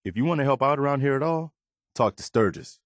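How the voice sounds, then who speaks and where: A man playing an earnest, weary, idealistic militia leader in a video game, speaking in a measured, sincere way. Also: mid-range voice, animated, no room sound, studio quality, lightly acted. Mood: earnest, reassuring. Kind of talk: video game character dialogue.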